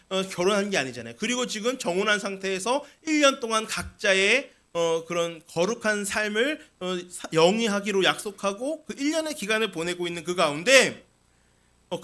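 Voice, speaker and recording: A man's voice: sits high, bright, forceful tone. A man preaching a sermon as a pastor, explaining scripture with rhetorical questions and repeated key phrases.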